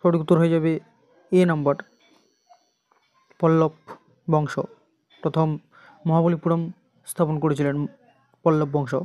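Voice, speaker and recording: Flat-toned exam teacher with Indian Bengali accent. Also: mid-range voice, measured pace, long pauses, dry recording. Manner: instructional, repeats answers. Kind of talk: quiz lesson, reading questions and answers.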